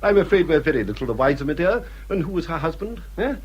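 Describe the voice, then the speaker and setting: A snobbish older English gentleman in a period radio drama. His voice is husky and fairly high for a man, and it swoops extravagantly as he drawls his pompous disdain. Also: sing-song, fast, muffled recording. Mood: sneering disdain. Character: haughty, snobbish older father of grown daughters.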